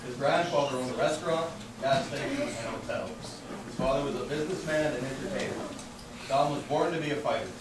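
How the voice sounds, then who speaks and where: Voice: medium pitch, fairly quick. A host presenting and narrating a live puppet show in a scripted, storytelling way. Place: indoor library event, far microphone. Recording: very echoey, distant, heavily noisy live recording.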